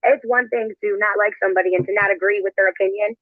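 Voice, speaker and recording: A man's voice: high-pitched, fairly even intonation, fast talker, no room sound. A man chatting casually on a livestream, offering his opinion in everyday words. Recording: tinny phone-like played stream audio.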